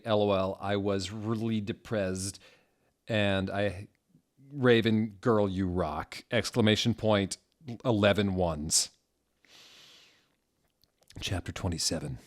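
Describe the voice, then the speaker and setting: A man narrating fan fiction as a theatrical dramatic reading, with deliberate, mocking delivery. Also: fairly low pitch.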